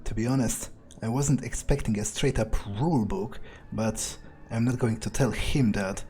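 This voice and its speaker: A man's voice: mid-range voice, normal speed, mellow, natural voice. A man voicing a wary, curious young male vampire newcomer in a video game roleplay, casual and hesitant, as if thinking aloud. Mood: wary, inquisitive.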